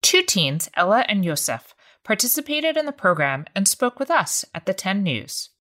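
A woman producer presenting a segment in a measured, scripted narration. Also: medium pitch, sing-song, fairly bright tone.

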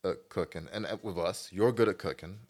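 A man with a very low voice gives his opinions in loose, conversational banter as a podcast co-host. He talks in colloquial phrases and tends to repeat a point to drive it home. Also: fairly quick, darkish tone. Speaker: podcast co-host giving advice.

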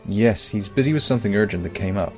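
An actor playing a composed, single-minded scientist in an audio drama, measured, assured and persuasive. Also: male voice, fairly low pitch, natural voice. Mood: businesslike. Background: music.

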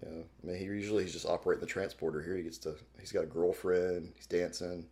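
A man's voice: low voice, little pitch movement, quick pace, darkish tone. A man co-hosting a podcast in casual, comedic fan chat, joking in a wry, offhand way. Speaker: podcast co-host.

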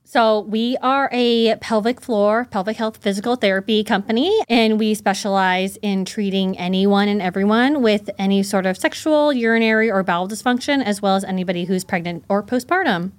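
A woman in her thirties, raised in California, speaking as a podcast guest in an upbeat, friendly way. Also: medium pitch. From Taiwan, raised in California. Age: 30s. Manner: upbeat, friendly, quick to laugh. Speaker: physical therapist guest. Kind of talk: casual interview chat.

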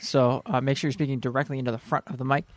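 A man's voice: mid-range voice, little pitch movement, rapid-fire. A man in his twenties co-hosting a podcast, teasing and joking in casual chat, swearing freely. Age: 20s. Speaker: podcast co-host.

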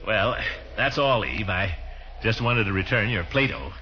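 An actor playing a pompous, easily flustered, flirtatious middle-aged uncle in a 1940s radio comedy, blustering and hesitant. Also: male voice, on the low side, a little slow, faint music behind, close to an everyday voice. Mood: casually scheming. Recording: muffled, noisy 1940s radio recording.

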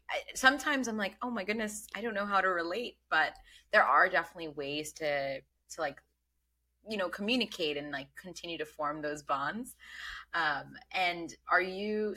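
A woman's voice: fairly low pitch, lively intonation, moderate pace. A woman from Bangladesh, not an English speaker, hosting a podcast interview and asking questions with many ums and restarts. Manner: warm curious questioning with many fillers. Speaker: podcast host. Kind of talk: casual interview.

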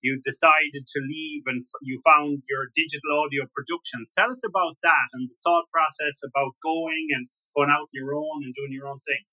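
A man's voice: fairly high pitch, steady tone, moderate pace, hardly any pauses, pushed and bright, low-quality recording. A man hosting an interview, asking long rambling questions full of fillers. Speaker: interview host. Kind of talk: casual interview chat.

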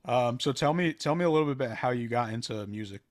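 A man hosting a podcast, asking a guest questions in a relaxed, casual way. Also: medium pitch, quick pace. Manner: relaxed, asks short questions. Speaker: podcast host. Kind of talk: casual interview chat.

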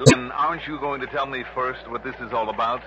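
An actor playing a wry, brisk American insurance investigator in a crisp, dramatic 1960s radio drama style. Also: male voice, mid-range voice, fairly even intonation, very noisy, natural voice. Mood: questioning. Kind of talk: 1960s radio drama, first-person hard-boiled narration. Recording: dull lo-fi old radio recording, music behind.

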